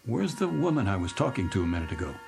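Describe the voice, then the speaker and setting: A weary businessman commuting by train in a radio drama. His low voice swings widely as he stammers and hesitates, bewildered by what is around him. Music plays clearly behind him. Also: very animated, quick pace, a little mellow. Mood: alarmed, bewildered.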